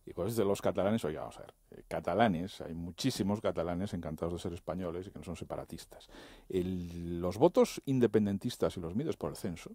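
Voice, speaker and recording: A man debating politics as a radio panellist, argumentative and quick to interject corrections. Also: deep voice, dark tone, husky, no room sound, clean studio sound.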